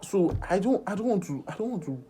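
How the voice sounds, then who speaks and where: A nineteen-year-old man talking through a personal vlog in earnest self-talk, halting with many fillers and restarts. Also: high-pitched, big pitch swings, warm tone, a little hiss or hum, rough recording. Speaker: vlogger.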